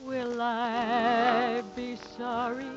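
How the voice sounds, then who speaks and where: A woman's voice: fairly high pitch, completely flat delivery, very slow, loud music behind the voice. A woman singing a 1940s ballad as a radio show vocalist. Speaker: singer. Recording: muffled old radio recording with band.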